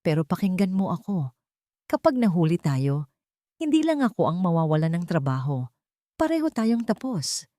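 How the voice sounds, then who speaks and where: A woman's voice: on the low side, sing-song, moderate pace, long pauses, dark tone, dry recording, studio quality. Woman voicing a worried, urgent loyal friend. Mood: grave warning. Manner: urgent, anxious.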